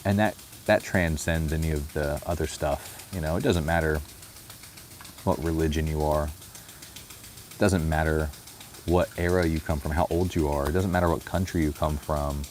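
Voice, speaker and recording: A man talking in a personal vlog, thoughtful and unhurried, often adding "you know". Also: very deep voice, fairly even intonation, darkish tone, faint music behind. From United States. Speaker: vlogger. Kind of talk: reflective personal vlog talk.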